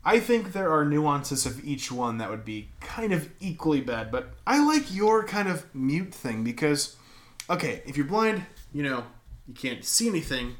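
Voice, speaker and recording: A man's voice: fairly high pitch, sing-song, normal speed, fairly bright tone. A man co-hosting a podcast, playful and rambling, with mock-serious asides. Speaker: podcast co-host. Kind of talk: casual banter.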